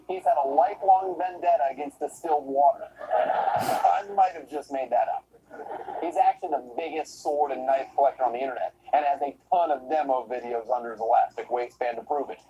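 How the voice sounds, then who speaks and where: A man hosting a comedy show, narrating clips and interviewing a guest with deadpan, mocking sarcasm. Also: fairly high pitch, slight background noise, poor audio.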